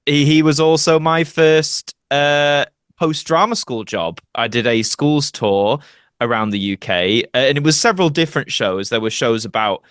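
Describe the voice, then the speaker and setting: A man's voice: medium pitch, moderate pace, fairly bright tone, smooth, dry recording, clean studio sound. A young British man chatting casually as an interview guest, reflective and anecdotal. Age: young adult. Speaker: podcast guest, actor and YouTuber.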